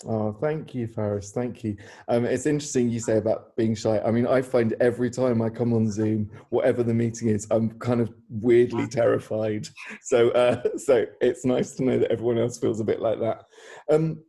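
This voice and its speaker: A man with a very dark, relaxed tone and a middling pitch hosts an informal chat on a video call. He feels his way through questions with hesitant pauses, like a director leading a casual discussion. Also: gravelly. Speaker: theatre director hosting a Q&A. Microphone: video call microphone.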